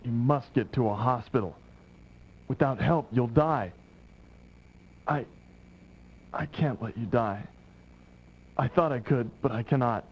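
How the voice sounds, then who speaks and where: Earnest soldier, muffled audio. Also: male voice, medium pitch, unhurried, pauses often, husky. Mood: urgent, pleading. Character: earnest male soldier pleading to save his enemy. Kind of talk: video game cut-scene voice acting. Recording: muffled lo-fi 1990s game audio, noisy.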